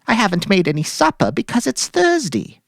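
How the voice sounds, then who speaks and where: A woman's voice: medium pitch, huge pitch swings, fairly quick, creaky, no room sound, studio quality. A woman playing a devoted, anxious housewife who coaxes solicitously in a dramatic story reading. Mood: eager, solicitous. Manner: coaxing, solicitous.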